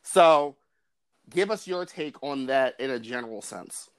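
A man hosting a podcast, explaining ideas in a measured way and chatting with his guest. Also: medium pitch, expressive, clear, resonant tone. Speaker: podcast host. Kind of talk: podcast conversation.

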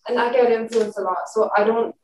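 A woman's voice: fairly high pitch, steady tone, brisk. A young woman from Shanghai, a native Mandarin speaker speaking English as a second language, talking in a thoughtful, hesitant way with frequent restarts in a casual group discussion. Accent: Shanghainese-accented Mandarin speaker; English with mixed US and British sounds. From Shanghai, China. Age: young adult. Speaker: discussion participant. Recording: echoey room, distant mic, faint noise.

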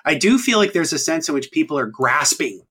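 A man's voice: a little high, sing-song, fairly quick, fairly bright tone, husky. A man in his thirties hosting a podcast, talking casually and rambling with many ums and restarts. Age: 30s. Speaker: podcast host. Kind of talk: casual chat.

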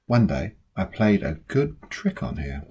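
A woman reading a children's story aloud in an expressive, scripted narration style. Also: rumbling low, a little slow, breathy edge. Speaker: storybook reader.

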